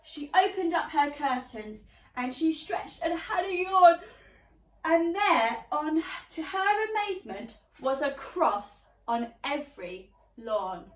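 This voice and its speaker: High-pitched, very animated woman reading a story. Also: recorded from a distance. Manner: expressive, pausing to ask young listeners questions. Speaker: teacher reading a picture book aloud to children. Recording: roomy, echoey, away from the mic.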